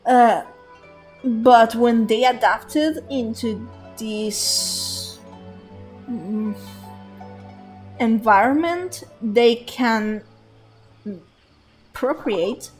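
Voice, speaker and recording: A woman's voice: on the high side, a little mellow. A woman streaming a game, musing aloud in a rambling, thoughtful way with many fillers and restarts. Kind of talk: casual livestream commentary.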